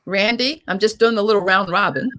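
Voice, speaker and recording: A woman's voice: medium pitch, a little fast, a bit bright. A woman hosting and moderating a panel discussion in an informal style. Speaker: host and moderator.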